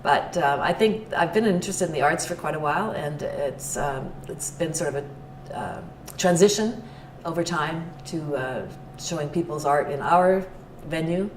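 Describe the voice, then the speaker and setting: An older woman from Edmonton, giving thoughtful, unhurried interview answers as an arts venue owner. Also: low voice, slight background noise. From Edmonton, Canada. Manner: thoughtful, unhurried, searching for words. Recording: roomy sound, distant mic, background music.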